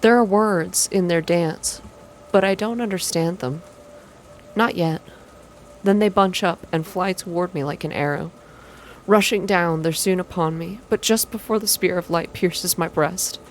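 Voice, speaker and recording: A woman reading a visual novel game aloud in an intimate ASMR style, soft and expressive as she hosts her playthrough. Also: fairly low pitch, a little hiss or hum, rough recording. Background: game music.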